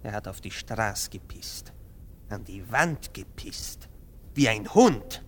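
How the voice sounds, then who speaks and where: An actor playing a pompous, excitable doctor in a German radio play, theatrical, lurching between whispers and outbursts. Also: male voice, lowish voice, takes it slowly, breathy edge, full character voice. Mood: reproachful. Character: pompous, excitable male doctor obsessed with experiments.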